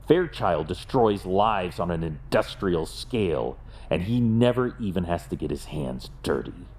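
A very deep-voiced, grim vigilante detective, played by a man in an audio drama. He speaks gravely and deliberately, with resolute weight and plenty of movement in his low pitch. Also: animated, darkish tone. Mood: brooding.

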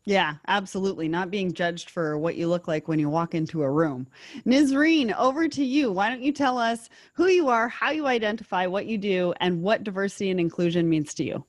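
A woman hosting a podcast panel, reading sponsor messages and then moderating in a clear, upbeat way. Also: mid-range voice, brisk, no echo. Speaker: podcast host.

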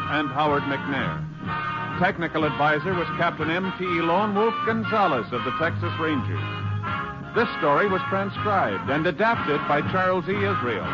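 A male announcer reads in classic 1950s radio style. His voice sits a little high, over loud music. The old recording is dull and muffled. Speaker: program announcer.

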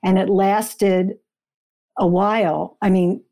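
A woman speaking as an interview guest, explaining and telling a story in deliberate, short phrases. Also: mid-range voice, flat delivery, mellow.

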